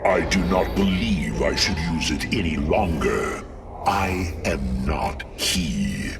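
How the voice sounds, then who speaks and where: A man playing a huge, stoic, proud robotic warrior in a video game, with a gravelly voice and formal, measured speech. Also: animated, recorded from a distance, over loud music, heavily acted. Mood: solemn. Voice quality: very deep, gravelly. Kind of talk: video game cutscene acting.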